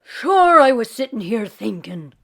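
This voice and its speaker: A lazy Irish husband from a storybook, played by a woman reader. He blusters and sweet-talks drowsily, with folksy, drawn-out excuses. The pitch swings in a very animated way. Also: full character voice. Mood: indignant, drowsy. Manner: folksy, drawn-out, self-justifying grumbling.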